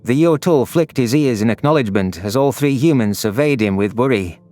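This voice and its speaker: A man narrates a sci-fi story in a scripted, first-person style. His voice is mid-pitched, with faint music running underneath. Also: expressive, fairly quick, darkish tone. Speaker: audio drama narrator.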